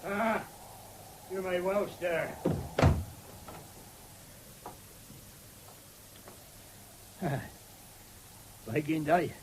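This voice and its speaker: An actor playing a rough, deaf old vagrant with a rural English accent in a radio drama, terse and muttering. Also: male voice, sits high, slow. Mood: wry. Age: older. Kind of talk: 1970s British radio drama acting. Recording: faintly hissy old radio broadcast.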